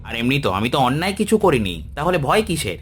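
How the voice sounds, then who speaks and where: A man voicing a humble, kindly village farmer in an animated moral story, respectful and earnest. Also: mid-range voice, fast talker, soft background music, close to an everyday voice. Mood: friendly, reassuring.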